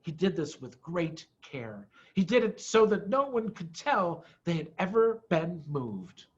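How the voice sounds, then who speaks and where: A middle-aged man reading a children's story aloud expressively, with deliberate dramatic emphasis. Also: sits high, big pitch swings. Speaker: storybook reader, head of school. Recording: dull, muffled lo-fi audio.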